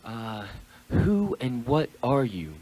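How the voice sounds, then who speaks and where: A man playing a shaken miser confronted with his past in a radio play, halting and theatrical in delivery. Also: mid-range voice, sing-song, warm tone, low-quality recording. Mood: nervous, hesitant.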